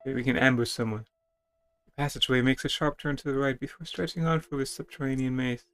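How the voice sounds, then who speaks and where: A man's voice: mid-range voice, quick pace, fairly bright tone, clean tone. A man playing a video game, reading its text aloud dramatically with stumbles and casual commentary. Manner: theatrical, stumbles over written text. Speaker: video game player and streamer.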